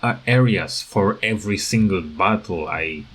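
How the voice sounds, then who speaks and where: Slow, hesitant man with a non-native English accent. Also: deep voice, a bit bright, slightly rough. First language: not English. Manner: hesitant, halting, repeats words. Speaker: gaming video creator. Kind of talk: rambling unscripted game commentary.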